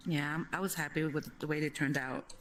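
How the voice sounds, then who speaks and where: A woman chatting casually as her daughter's guest, with a slightly raspy voice, laughing often and giving short replies. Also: deep voice, fairly even intonation, brisk. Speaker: guest, host's mother. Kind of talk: casual chat.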